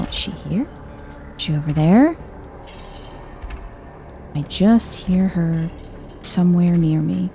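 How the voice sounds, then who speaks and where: A soft-spoken woman giving chill, understated game commentary in an ASMR style, musing aloud as she plays. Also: lowish voice, vocal fry, a little hiss or hum. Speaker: video game streamer.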